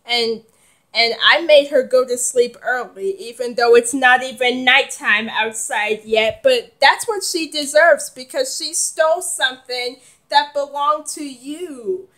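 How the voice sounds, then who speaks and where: A woman's voice: high-pitched, a little slow, a bit bright. Woman playing a stern scolding mother. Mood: calm. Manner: scolding, firm, lecturing.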